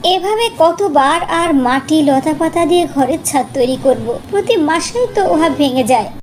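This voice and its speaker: A woman's voice: sits high, expressive, measured pace, a little hiss or hum, voice pushed up. A woman voicing a worried village wife who complains and urges, in a cartoon fairy tale. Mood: worried, complaining. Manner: complaining, urging.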